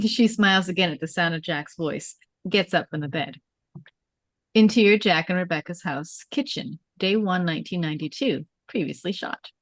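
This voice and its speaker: A woman's voice: fairly low pitch, moderate pace, no echo. A woman narrating a script at a table read, reading out scene headings and stage directions.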